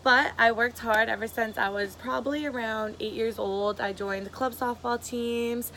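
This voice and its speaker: A woman's voice: on the high side, steady tone, measured pace, pushed and bright, a little hiss or hum. A bubbly 22-year-old woman, chatting eagerly to camera in a casual audition video. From Massachusetts, USA. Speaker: reality show applicant.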